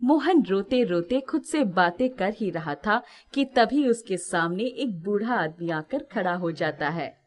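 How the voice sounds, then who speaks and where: A woman's voice: mid-range voice, lively intonation, measured pace, hardly any pauses. Woman, narrator, scripted storytelling, mid-range voice, moral tale.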